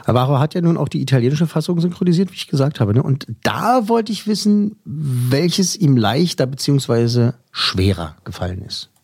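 Male radio host, gravelly and husky, dry studio mic, casual film chat. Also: medium pitch, animated, a little mellow, dry recording. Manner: brisk, chatty, many fillers.

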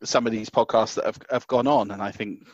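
A man with a lowish voice talks loudly and eagerly, tripping over his words and restarting sentences as he co-hosts a podcast. The sound is dry and slightly dull, recorded at home in a small house. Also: fairly quick, no room sound. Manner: excitable, stumbling restarts, loud. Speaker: podcast co-host. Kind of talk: casual podcast chat.

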